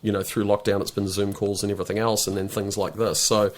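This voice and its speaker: A man's voice: lowish voice, level tone, quick pace. A middle-aged New Zealand man with a New Zealand accent, a film director guesting on a podcast, talking in bursts with many restarts and fillers. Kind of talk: casual podcast interview.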